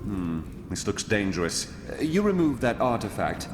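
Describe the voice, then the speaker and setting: A man voicing a roguish, smooth-talking thief who is sly and flirtatious. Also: fairly low pitch, sing-song, moderate pace. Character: roguish charming male thief and scoundrel. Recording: noisy background.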